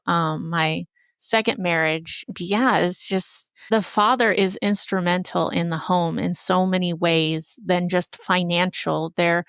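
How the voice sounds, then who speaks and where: Low, clear-voiced woman hosting a podcast. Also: a bit bright, dry recording, clean studio sound. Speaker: podcast host.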